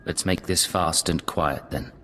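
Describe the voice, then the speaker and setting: A man's voice: low-pitched, measured pace, sharp tone, soft background music. An actor playing a male imperial field agent who answers in clipped, businesslike replies. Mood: terse. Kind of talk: video game voice acting.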